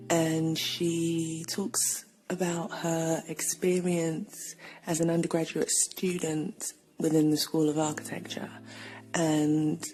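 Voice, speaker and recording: A woman's voice: low voice, dark tone, poor audio. A woman hosting a podcast, opening the episode in a reflective, conversational way with frequent fillers. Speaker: podcast host. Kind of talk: solo episode introduction.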